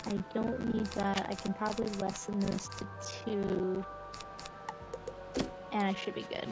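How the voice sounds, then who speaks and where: A woman's voice: mid-range voice, level tone, a little slow, rough recording. A woman streamer giving casual, easygoing gameplay commentary, thinking aloud as she plays. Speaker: game streamer. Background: game music.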